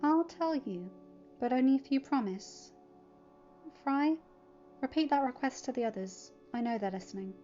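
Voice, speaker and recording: Bullied teen boy, voiced by a female reader, confiding and fragile, raised pitch, music underneath. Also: animated, moderate pace, pauses often, dull audio. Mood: nervous. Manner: soft, hesitant, confiding. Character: hurt, vulnerable bullied teenage boy.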